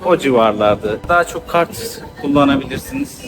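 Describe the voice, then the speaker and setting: Male travel companion, mid-pitched voice, practical and matter-of-fact casual explainer, clear music underneath. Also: lively intonation, a little fast.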